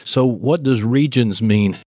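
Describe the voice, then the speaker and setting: A man's voice: mid-range voice, takes it slowly, dark tone, no echo, clean studio sound. A man with a Texas accent hosting a podcast interview, chatting in a friendly, storytelling way. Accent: Texan. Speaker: podcast host. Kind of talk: casual interview chat.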